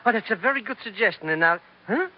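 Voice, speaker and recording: A dull old radio recording of a small-time comic schemer. His high voice swoops about as he talks in a flustered, florid way, cowardly and greedy. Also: animated, fast. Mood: self-important, eager. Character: excitable, cowardly, money-loving small-time schemer, comic male sidekick. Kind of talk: 1940s radio comedy acting.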